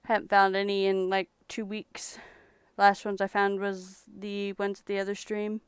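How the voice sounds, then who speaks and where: A young woman from Texas talks in a relaxed, rambling way while showing off her thrift finds. Her voice is strikingly clear and smooth. It is recorded close up, with a dry sound. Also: medium pitch, measured pace, no room sound. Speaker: streamer reselling thrift finds. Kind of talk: casual live-stream chat.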